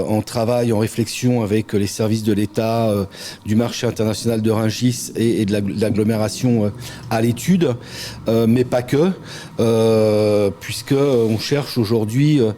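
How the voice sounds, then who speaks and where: A middle-aged man, a local elected official, answering interview questions in a measured way with frequent hesitant pauses. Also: mid-range voice, mellow. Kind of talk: interview answers, political talk.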